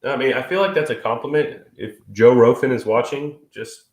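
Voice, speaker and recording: A man's voice: medium pitch, moderate pace, darkish tone. A man in his thirties co-hosting a live stream in casual, crude, joking chat, stuffy and sniffly from a cold. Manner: crude, joking, swears freely. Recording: echoey room.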